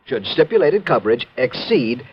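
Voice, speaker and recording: Fussy, animated radio worrywart. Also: male voice, medium pitch, moderate pace, breathy edge. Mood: pedantic. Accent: American. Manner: flustered, pedantic, long-winded. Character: fussy, worrying male insurance company manager. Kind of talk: 1950s radio drama acting. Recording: dull vintage radio recording.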